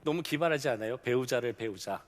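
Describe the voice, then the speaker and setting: Lively preaching man in a reverberant church. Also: medium pitch, quick pace. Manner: storytelling, swinging from loud to hushed. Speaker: pastor. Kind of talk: sermon. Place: large church sanctuary. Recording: long hall reverb.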